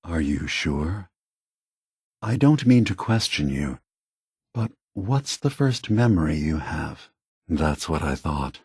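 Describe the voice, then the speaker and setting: An actor playing a gentle, weary, aged male synth leader in a video game, softly persuasive and deliberate, with a breathy, husky voice. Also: very deep voice, sing-song, dry recording, studio quality, heavily acted. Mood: calm, reassuring. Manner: measured, deliberate, philosophical, reassuring.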